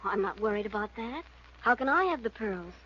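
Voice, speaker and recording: A woman's voice: mid-range voice, lively intonation, fairly quick, fairly bright tone, natural voice. An actress playing a guarded, defiant woman in a 1940s radio drama. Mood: guarded, curt. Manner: cool, curt. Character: guarded, defiant woman, curtly refusing help. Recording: muffled vintage radio recording, clear background noise.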